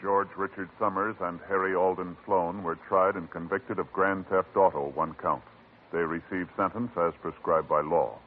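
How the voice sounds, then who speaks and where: A man announcing on an old radio show in a measured, formal, solemn way. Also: sits low, fairly even intonation. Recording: tinny old radio broadcast with music.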